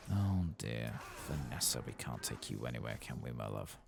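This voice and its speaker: Very deep, gravelly soft-spoken man. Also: very animated, moderate pace, music in the background. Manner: murmuring to himself, partly whispering. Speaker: video game streamer. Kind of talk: soft-spoken ASMR gameplay commentary.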